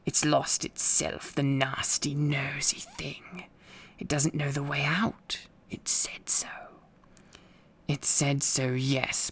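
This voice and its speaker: A man voicing a wretched, obsessive cave creature in a storybook reading, muttering repetitively to itself in broken grammar with a hissing, raspy whisper. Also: on the high side, fairly bright tone, full character voice. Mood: suspicious, scheming. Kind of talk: acted audiobook reading.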